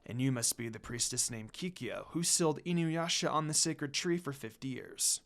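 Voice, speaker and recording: Proud demon lord, read by a male narrator: icy, measured, quietly threatening, for a fantasy fan story. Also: mid-range voice, animated, gravelly, voice pushed down. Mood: cold questioning. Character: proud, cold demon lord, protective elder brother.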